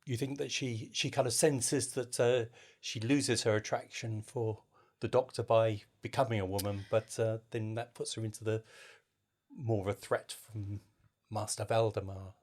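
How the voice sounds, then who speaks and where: A man's voice: on the low side, fairly even intonation, normal speed, darkish tone. A man working as an interviewer, putting questions in a conversational literary discussion.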